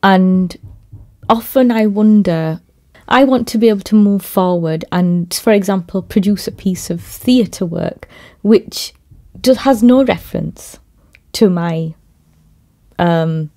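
A woman who works in theatre and youth work, speaking in a measured, thoughtful way in a podcast conversation. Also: fairly low pitch, dark tone. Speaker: theatre maker and youth worker.

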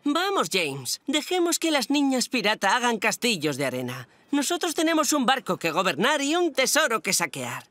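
A woman's voice: on the high side, wildly swooping pitch, normal speed, few pauses, fairly bright tone, no echo. A woman voicing a bossy, boastful little boy playing pirate in a children's cartoon dub. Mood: cocky. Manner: cocky, swaggering. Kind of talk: animated children's series dub.

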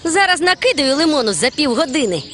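A woman voicing a lively, cocky young boy fruit character in a cartoon, boastful and bossy. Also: high voice, a little fast. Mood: cocky, irritated. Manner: boastful, bossy know-it-all. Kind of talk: animated cartoon dialogue. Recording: loud music behind.

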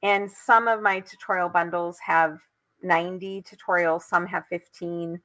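A woman's voice: lowish voice, fairly even intonation, measured pace, sharp tone. An older woman with an American accent hosting a craft tutorial live from home, chatting casually as she demonstrates. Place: indoors at home.

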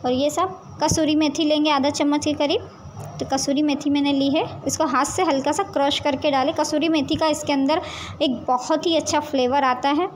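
A woman hosting a home cooking channel, calmly explaining each step of a recipe to viewers as she cooks in her kitchen. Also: high-pitched, moderate pace, slight background noise. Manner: calm, explanatory, addresses viewers directly with frequent "see this". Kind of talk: step-by-step cooking demonstration voice-over.

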